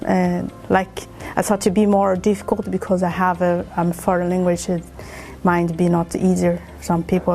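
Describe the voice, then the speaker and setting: A woman learner with a Portuguese accent, speaking halting non-native English in an interview. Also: medium pitch, gravelly, warm tone.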